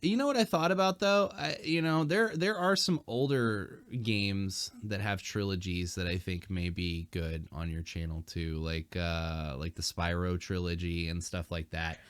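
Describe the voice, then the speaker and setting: A man hosting a casual podcast, rambling with fillers and restarts, his throat dry and a bit hoarse. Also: sits low, steady tone, a little slow, few pauses, a bit bright, no room sound. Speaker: podcast host.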